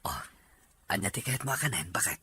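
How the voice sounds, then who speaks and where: A man's voice: lowish voice, animated, fast talker, pushed and bright, husky, full character voice. A man playing a concerned, attentive young husband with a Filipino accent in an Ilocano audio drama. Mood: caring.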